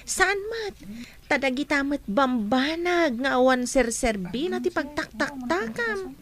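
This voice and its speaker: A teenage schoolgirl in a radio drama, speaking with an Ilocano Filipino accent. Her voice is very high and girlish. She chats and gently chides like the level-headed friend. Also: sing-song, unhurried, full character voice. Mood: chiding. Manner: chatty, gently scolding. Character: teenage schoolgirl, sensible, chiding best friend.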